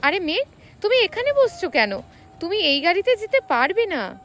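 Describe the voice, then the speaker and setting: Woman voicing a scheming, teasing young man, high-pitched. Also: big pitch swings, moderate pace, fairly bright tone, soft background music, exaggerated voice. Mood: teasing protest.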